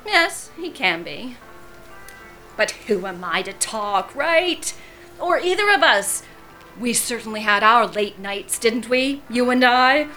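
A middle-aged wife in an audio drama, arch and deliberate, needling her friend with pointed politeness. Her voice is bright and forward, with music underneath. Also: a little high, expressive, heavily acted, poor audio. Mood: wry, nostalgic.

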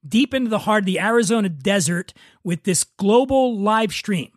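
Male podcast host, high voice, casual, joking, teasing, close dry sound. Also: lively intonation, normal speed, no echo. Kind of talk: casual comedic podcast chat.

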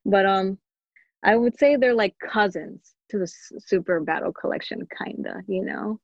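A woman's voice: medium pitch, moderate pace, no echo, muffled recording. A woman guest on a podcast, chatting in an easygoing, giggly way with lots of fillers. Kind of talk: casual chat.

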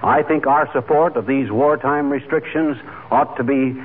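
An actor playing a grumbling, excitable middle-aged husband in 1940s radio comedy, with an American accent and a folksy, complaining manner. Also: male voice, mid-range voice, normal speed, lightly acted. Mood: folksy, earnest. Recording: tinny, very noisy old radio broadcast.

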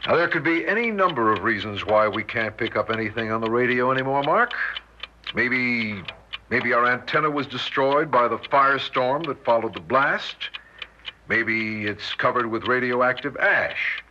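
An actor playing a weary, steady American father who explains things in a measured, plain-spoken way in a radio drama. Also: medium pitch. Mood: calm, reassuring. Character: weary, steady, reassuring father sheltering his family underground. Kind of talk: 1960s radio drama acting. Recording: muffled 1960s radio recording, noisy.

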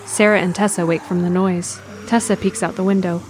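A woman's voice: on the low side, steady tone, a little fast, darkish tone, faint music behind. A woman reading scripted present-tense narration for an audio drama.

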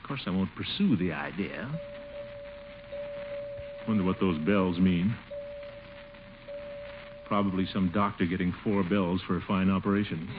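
An actor playing a pompous, blustery middle-aged uncle with a theatrical, chuckling delivery in 1940s radio comedy style. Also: male voice, deep voice, unhurried, long pauses, over music. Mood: musing. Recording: dull, noisy old radio recording.

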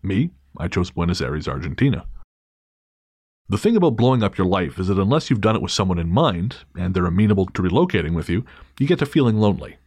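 Low-voiced wry male podcast host. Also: sing-song, fast, a little mellow, no room sound, clean studio sound. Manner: wry, joking, hesitant restarts. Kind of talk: casual paranormal chat and reading a listener story.

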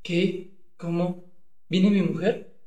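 A man's voice: sits high, level tone, slow, dark tone, reverberant, a little hiss or hum. A man playing a cowardly, boastful henpecked husband in a comedic radio play, hesitant one moment and blustering the next. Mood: startled, timid. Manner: hesitant stammering, then blustering.

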